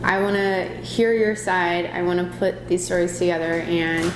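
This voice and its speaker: A woman's voice: lowish voice, steady tone, moderate pace, music in the background. A woman in her twenties from Chicago, talking in a casual vlog. She is chatty and earnest, with many ums and self-corrections. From Chicago, USA. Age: 20s. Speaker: vlogger. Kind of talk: casual personal vlog to camera. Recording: echoey room with clear background noise.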